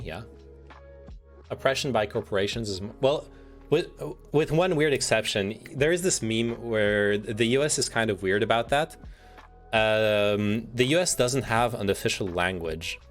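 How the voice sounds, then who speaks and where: A man livestreaming in a casual, sweary way, rambling and often restarting his sentences as he reads out statements and reacts to them. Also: fairly low pitch. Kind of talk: casual chat, reading quiz statements and reacting.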